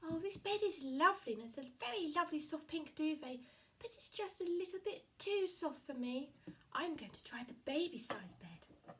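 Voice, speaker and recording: A woman voicing a cheerful, polite little girl with golden curls in a storybook puppet show, with bright, sing-song exclamations. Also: high-pitched, lively intonation, moderate pace, a little hiss or hum. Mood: fussy.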